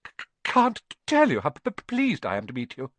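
A man's voice: fairly high pitch, huge pitch swings, fairly bright tone, no echo, voice pushed up, heavily acted. Man acting a stammering, nervous young professor. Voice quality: heavy stammer. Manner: halting, anxious muttering. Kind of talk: audiobook character acting.

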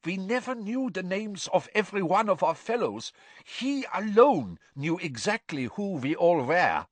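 A man voicing a frightened, fawning male prisoner who pleads in a high, hurried, breathless and unctuous voice. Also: very animated, dry recording, full character voice. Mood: pleading.

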